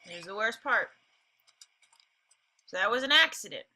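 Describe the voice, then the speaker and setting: A woman's voice: mid-range voice, lively intonation, unhurried, sharp tone, a little hiss or hum, poor audio. A woman teacher reading a novel aloud expressively, breaking off for informal explanatory asides. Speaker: teacher reading along with listeners.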